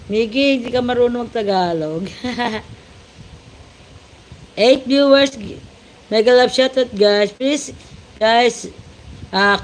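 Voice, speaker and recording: Filipino woman, livestream host, unhurried chat, faint background noise. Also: medium pitch, rough recording. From Philippines. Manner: greets viewers with repeated shout-outs, mixing Tagalog and English.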